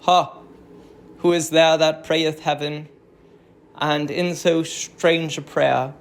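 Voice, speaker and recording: A performer voicing a vengeful, tormented Greek king, declaiming halting archaic verse in a dramatic reading of a tragedy. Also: medium pitch, level tone. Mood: commanding.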